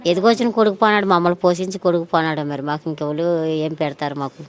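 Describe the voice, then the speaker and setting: A woman's voice: lowish voice, steady tone, fast talker. A middle-aged woman answering interview questions in a plaintive, pleading, repetitive way. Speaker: interviewee, bereaved mother.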